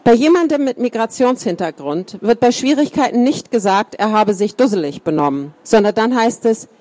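A woman reading a first-person account aloud in a calm, measured way. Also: a little high. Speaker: reader.

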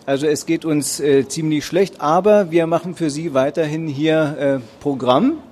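A man's voice: fairly high pitch, normal speed, a little mellow. Tired man with a Berlin accent, hesitant. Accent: Berlin German. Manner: hesitant, many ums and uhs. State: tired after a long fair day. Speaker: publisher presenting at a book fair stage. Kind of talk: spontaneous presentation to an audience. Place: book fair hall.

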